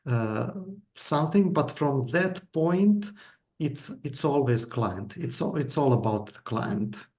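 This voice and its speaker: A man's voice: mid-range voice, expressive, slow, a little mellow. A man, a hypnotherapist interviewing his client in a reassuring way, with hesitant fillers. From Greece. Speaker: hypnotherapist host.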